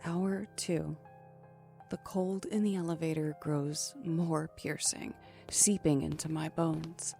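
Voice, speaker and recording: A woman's voice: low voice, steady tone, slow, creaky, dark tone, soft background music, heavily acted. A woman playing an anxious woman trapped in an elevator with a stranger, in an audio thriller. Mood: tense, subdued. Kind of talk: audio thriller acting with first-person narration.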